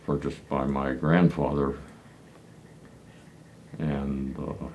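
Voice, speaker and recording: A man in his nineties from Pennsylvania, recalling his church childhood in slow, halting answers full of hesitant fillers. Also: very deep voice, level tone, darkish tone, slight background noise. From Pennsylvania, United States. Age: 90s. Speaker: elder congregant interviewee. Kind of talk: reminiscing interview answers.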